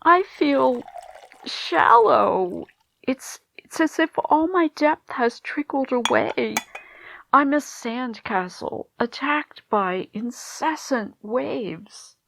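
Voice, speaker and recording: An anxious, slow-speaking wistful mother. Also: high-pitched, animated, vocal fry, background noise, full character voice. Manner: hesitant, brooding, dramatic pauses. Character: anxious, wistful mother isolated in a threatened world. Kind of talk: radio play acting.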